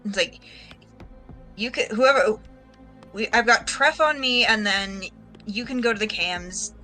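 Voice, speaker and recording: Bright punchy woman player, bantering at the game, bit high, faint music behind. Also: measured pace. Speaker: player in a tabletop role-playing game.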